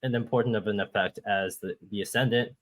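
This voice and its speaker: A man's voice: fairly low pitch, fairly even intonation, quick pace. A man from Hong Kong, a guest astrologer, patiently explaining step by step over a video call. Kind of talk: explaining with shared screen.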